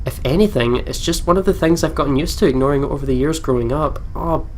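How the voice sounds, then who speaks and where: A man's voice: on the high side, quick pace. A man hosting a let's play, reading story text aloud and breaking in with reactions and asides. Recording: clear background noise.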